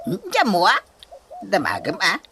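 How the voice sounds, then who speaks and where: An actor playing an exasperated, teasing husband in a Philippine radio comedy-drama, grumbling in an animated way. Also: male voice, sits high, unhurried, creaky, background noise, exaggerated voice. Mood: teasing exasperation.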